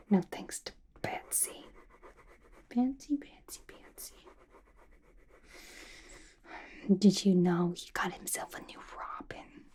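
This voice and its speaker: A woman voicing a playful, gossipy villain locked in an asylum, in a breathy, chatty, confiding ASMR roleplay. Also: medium pitch, darkish tone, close to an everyday voice. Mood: wistful, gossipy. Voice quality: breathy, whispery. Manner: chatty, confiding, casual slangy talk.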